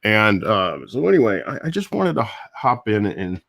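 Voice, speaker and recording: An older man with a low voice, hosting a casual morning live stream and chatting in a rambling way, chuckling between thoughts. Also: very animated, moderate pace, gravelly, a bit bright. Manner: rambling, chuckles often, restarts. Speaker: YouTube host. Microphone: different audio device that lowers voice.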